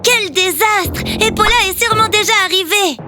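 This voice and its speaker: A woman voicing a spirited, playful young cartoon girl in a French children's dub, with an expressive, exclamatory delivery. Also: extremely high pitch, moderate pace. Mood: animated. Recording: music behind the voice. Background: children's cartoon music.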